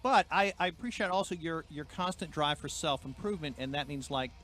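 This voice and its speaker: A man's voice: fairly high pitch, a little fast, fairly bright tone, faint music behind. Eager talk-show man who keeps saying look. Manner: enthusiastic, rambling with restarts, says "look" often. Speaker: co-host. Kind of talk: casual interview chat.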